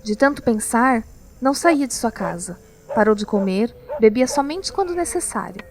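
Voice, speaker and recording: A woman with a Brazilian accent narrating a scripted audio drama as storyteller. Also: fairly high pitch. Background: music.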